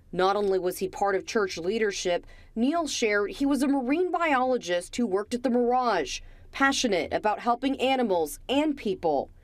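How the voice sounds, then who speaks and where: A woman's voice: mid-range voice, no room sound. A woman reporter reading scripted narration for a television news story.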